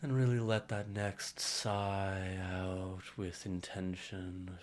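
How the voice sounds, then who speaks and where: A man leading a guided meditation in a soothing way, with unhurried phrasing and audible sighs and hums. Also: sits low, little pitch movement, slight background noise. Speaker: meditation guide, music therapist. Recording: music playing over voice, online video call. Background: meditative instrumental music.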